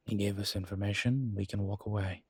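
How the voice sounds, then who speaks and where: A man playing a sly, worldly incubus demon in an audio roleplay, husky and sardonic, talking in an unhurried, conversational way. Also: lowish voice, fairly even intonation, darkish tone, no echo. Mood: firm, wry. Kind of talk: dramatic character roleplay acting.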